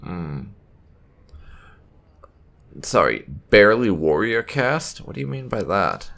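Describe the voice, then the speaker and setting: A man's voice: on the low side, big pitch swings, slow, vocal fry, a bit bright. A man hosting a casual roleplay let's-play, musing and hesitating as he talks. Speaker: gaming channel host.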